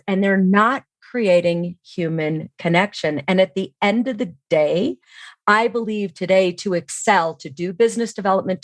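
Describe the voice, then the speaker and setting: A woman's voice: medium pitch, dry recording, clean studio sound. A middle-aged woman explaining her views as an expert podcast guest, in an assured, emphatic way.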